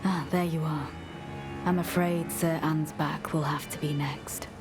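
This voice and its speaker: An actress playing a devoted, suspicious female knight in a fantasy game, speaking formally and with measured, archaic phrasing and quiet menace. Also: sits low, steady tone, over music. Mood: quietly menacing. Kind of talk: dramatic fantasy game dialogue.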